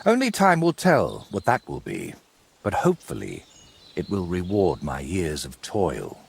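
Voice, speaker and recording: An actor playing a wry, courteous, middle-aged medieval painter in a video game, with a husky voice and a wordy, theatrical way of talking. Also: male voice, deep voice, huge pitch swings, a little slow, heavily acted. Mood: proud, musing. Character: wry, wordy ageing medieval painter, robbed but polite. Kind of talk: game cutscene dialogue.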